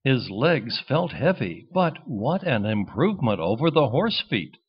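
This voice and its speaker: Guy narrating a kids bedtime story, slow n really animated, slightly muffled recording. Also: mid-range voice, slightly rough. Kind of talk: expressive scripted children's story narration.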